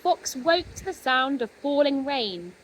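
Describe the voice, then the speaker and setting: A woman narrating a children's story expressively, with gentle dramatic pauses. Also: high-pitched, big pitch swings, a little hiss or hum, poor audio. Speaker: storybook reader.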